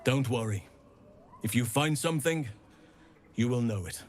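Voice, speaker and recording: A man playing a grave, earnest nature healer in a video game, speaking gently in measured, formal phrases. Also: medium pitch, lively intonation, a little hiss or hum, natural voice. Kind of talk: video game dialogue acting.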